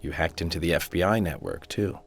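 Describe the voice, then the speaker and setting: An actor playing a cool, confident federal agent in a video game, speaking in a dry, direct way. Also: male voice, low-pitched, brisk, faint music behind. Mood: dry, probing. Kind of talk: video game cutscene acting.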